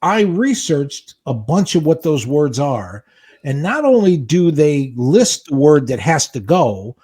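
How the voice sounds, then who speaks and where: Older male podcast co-host in his sixties, pitched a bit high, with a lively, swooping delivery and an indignant, exasperated edge. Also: takes it slowly, slightly rough. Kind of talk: casual podcast chat.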